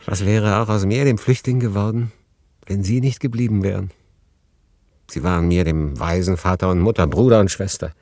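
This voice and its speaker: An actor playing a melancholy, resigned refugee man in a literary radio play, speaking in a husky voice with sombre, measured phrasing and deliberate pauses. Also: low voice, dark tone, no room sound, clean studio sound. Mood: reflective. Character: melancholy male refugee, resigned, recounting his story. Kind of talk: literary radio play, first-person narration and dialogue.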